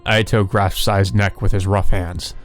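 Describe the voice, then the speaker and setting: A man's voice: deep voice, little pitch movement, a little slow, faint music behind. A man reading visual novel story text aloud as narration for a let's play.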